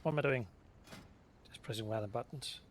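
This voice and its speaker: A man's voice: medium pitch, fairly quick, a little hiss or hum. Casual man playing a game. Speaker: gaming streamer playing an RPG. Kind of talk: casual let's play commentary, reading item texts aloud.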